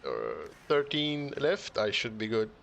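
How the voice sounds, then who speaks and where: Male game streamer, mid-pitched, casual thinking-aloud chat, frequent chuckles. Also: measured pace, fairly bright tone, highs cut off, a little hiss or hum. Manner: chuckling often, musing half to himself. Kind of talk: casual live-stream commentary while building.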